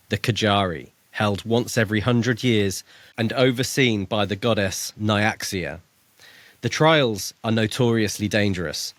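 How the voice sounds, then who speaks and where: Low-pitched man, casual book podcast host. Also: fairly bright tone, no room sound.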